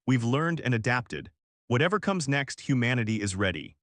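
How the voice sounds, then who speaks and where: A man reading as an assured female space fleet commander in a science fiction story, measured and confident. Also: medium pitch, sing-song, dry recording, studio quality, close to an everyday voice. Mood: proud, resolute.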